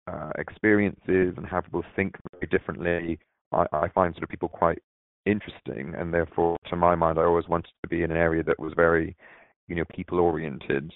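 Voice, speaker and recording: Very low, flat man on a phone line. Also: no echo. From Barbados. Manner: hesitant, many filler sounds and restarts. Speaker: diversity manager, interview guest. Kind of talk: unscripted interview answers.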